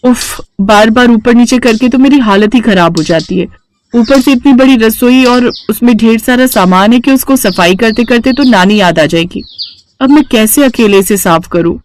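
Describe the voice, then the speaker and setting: A woman's voice: fairly high pitch, moderate pace, a little hiss or hum, pitched above the performer's own voice, close to an everyday voice. A woman voicing a rich, pampered young daughter-in-law in a cartoon story, with a fussy, affected, complaining manner. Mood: weary complaint. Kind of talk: Hindi cartoon bedtime-story character acting.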